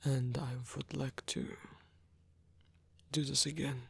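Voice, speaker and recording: A man playing a lonely, courteous old vampire in an intimate ASMR roleplay, speaking in a breathy, hesitant, softly formal way. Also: mid-range voice, flat delivery, slow pace, heavily acted. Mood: shy, apologetic. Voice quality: breathy, husky, gravelly whisper.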